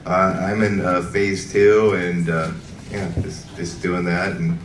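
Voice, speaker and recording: A man graduating from a church program, speaking haltingly with many fillers on a church stage. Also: deep voice, little pitch movement. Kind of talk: graduation speech. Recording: echoey hall from far off, noise.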